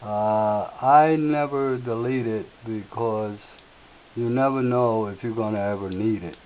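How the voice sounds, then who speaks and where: Slow-talking man with a slightly raspy voice. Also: on the low side. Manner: unhurried step-by-step explaining with filler words. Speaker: tutorial host. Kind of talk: screen-share software tutorial. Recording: tinny lo-fi audio with faint hiss.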